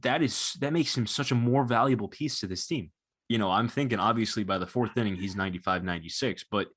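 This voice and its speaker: Male podcaster, twenties, fairly low voice. Conversational, analytical sports talk. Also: fairly bright tone, breathy edge. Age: 20s. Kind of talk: casual analytical sports chat.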